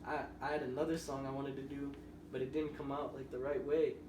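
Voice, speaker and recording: A man's voice: mid-range voice, little pitch movement, fairly quick. A young man, a musician guest on a podcast, telling loose, rambling stories in casual conversation. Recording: roomy, distant mic with noise.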